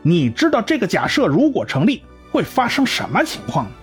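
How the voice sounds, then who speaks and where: Give it as a man playing a learned scientist who explains everything: keep your voice a little high and very animated, lecturing with soft music beneath. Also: fairly quick. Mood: explaining. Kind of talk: amateur radio drama. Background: faint music.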